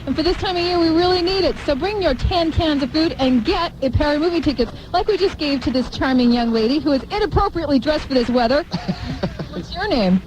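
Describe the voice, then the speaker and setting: A woman's voice: sits high, animated, brisk, darkish tone, rough recording. An American woman co-hosting morning radio, chatting and interviewing people live at an outdoor event. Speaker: morning radio show co-host. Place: outdoors in the cold at a supermarket event.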